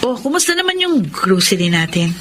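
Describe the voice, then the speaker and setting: A woman's voice: mid-range voice, very animated, fairly quick, background noise, lightly acted. A woman playing a caring, earnest younger sister and mother in a radio drama, speaking expressively. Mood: homely, contented.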